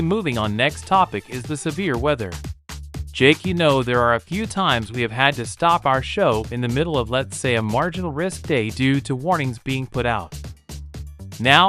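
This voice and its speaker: Bright-voiced man hosting casual weather talk. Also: mid-range voice. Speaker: show host.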